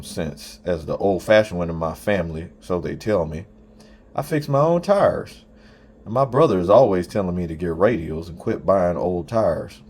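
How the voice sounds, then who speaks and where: A man's voice: fairly low pitch, a little mellow. A man reading a first-person story aloud as an audiobook narrator.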